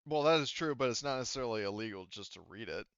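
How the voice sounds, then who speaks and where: A man's voice: mid-range voice, expressive, fast talker, a bit bright, clean tone, dry recording, muffled recording. A man bantering casually as a player in a tabletop roleplaying session.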